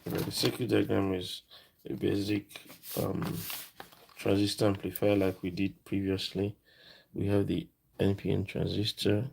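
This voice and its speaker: A man presenting a casual hands-on electronics tutorial, explaining as he works in a halting, informal way, thinking aloud. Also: low voice, flat delivery, vocal fry, a little mellow, slight background noise, rough recording.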